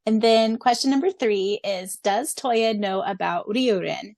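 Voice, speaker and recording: A woman co-hosting a casual fan podcast, gushing and excitable, often laughing between lines. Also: mid-range voice, unhurried, gravelly, no room sound, clean studio sound. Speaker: podcast co-host.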